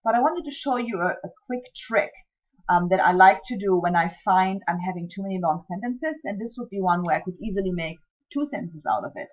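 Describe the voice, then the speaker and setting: A woman's voice: mid-range voice, expressive, brisk, dull audio. A woman whose first language is German, teaching scientific writing in a chatty recorded lecture full of ums and self-deprecating asides. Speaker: writing instructor.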